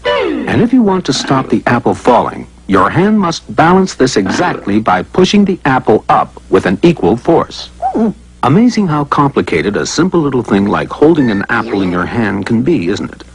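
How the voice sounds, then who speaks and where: A man's voice: medium pitch, big pitch swings, moderate pace, faint music behind, low-quality recording. A man narrating a scripted educational cartoon in a playful, conversational way, full of rhetorical questions.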